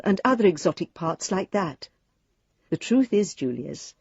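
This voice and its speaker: A woman's voice: mid-range voice, mellow, husky, no room sound, muffled recording. An actress playing a prim, wryly amused woman reading her letter in a radio drama, formal, arch and politely understated. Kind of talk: radio drama monologue, letter read aloud.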